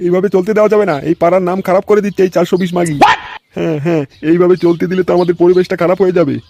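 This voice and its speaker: A man's voice: high voice, level tone, very fast. A man voicing an indignant, moralizing village neighbour in a comedy cartoon, scolding and threatening. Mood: disapproving. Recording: clear background noise.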